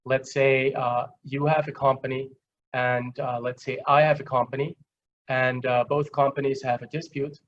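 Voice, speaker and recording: A man with an Austrian accent giving a webinar lecture, explaining with simple examples in a halting, conversational way. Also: mid-range voice, level tone. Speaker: guest lecturer. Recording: dull, lo-fi online call audio.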